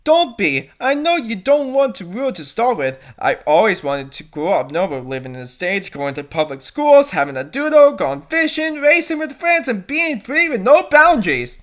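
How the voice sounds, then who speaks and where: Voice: mid-range voice, very animated, moderate pace, few pauses, pitched above the performer's own voice, close to an everyday voice. A reader voicing an emotional young cartoon princess in a melodramatic, pleading way. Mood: wistful.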